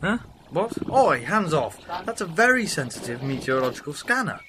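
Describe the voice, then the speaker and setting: A man playing an eccentric, blustering time traveler, theatrical and quick to bluff. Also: a little high, big pitch swings. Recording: noisy amateur recording.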